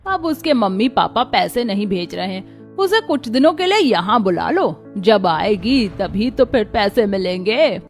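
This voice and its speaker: A woman's voice: mid-range voice, sing-song, measured pace, soft background music. A woman voicing a scheming, greedy aunt in a family cartoon story. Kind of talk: Hindi cartoon story acting.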